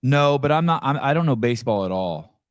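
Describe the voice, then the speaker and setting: A man's voice: mid-range voice, animated, a little fast, smooth, no room sound. A man from California hosting a casual podcast interview, joking and rambling, often stumbling and restarting his sentences. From Santa Cruz, California. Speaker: podcast host.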